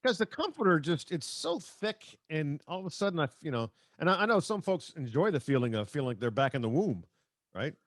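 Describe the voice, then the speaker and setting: A man's voice: fairly high pitch, big pitch swings, fairly quick, dry recording, studio quality. A middle-aged man hosting a sports podcast in a chatty, wry, conversational way. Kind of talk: casual conversational banter.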